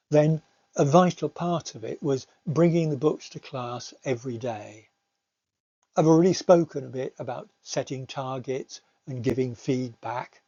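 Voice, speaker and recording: A middle-aged man giving a slide presentation as a teacher, explaining in a measured, somewhat hesitant way. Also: mid-range voice, mellow, slightly rough. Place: recorded voice-over for slides. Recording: slightly dull, lo-fi recording.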